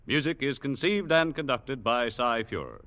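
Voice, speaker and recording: A man's voice: medium pitch, sing-song, a little slow, bright, forceful tone, faint music behind. A man announcing on 1940s radio in a formal, polished manner. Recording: muffled vintage radio broadcast.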